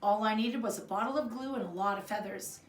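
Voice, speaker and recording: A woman teacher reading a children's story aloud in an expressive storytelling way. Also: mid-range voice, brisk. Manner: expressive, acts out the dialogue. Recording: roomy echo, distant mic, faint noise.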